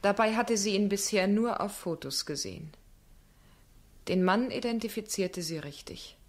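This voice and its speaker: An actress playing a stubborn young defence barrister, insistent and businesslike, in a radio drama. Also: female voice, medium pitch, moderate pace, darkish tone, lightly acted. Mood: intent.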